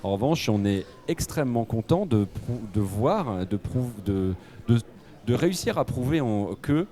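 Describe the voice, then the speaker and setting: A man, a radio executive speaking on a conference panel, halting, with many fillers. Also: medium pitch, animated, warm tone.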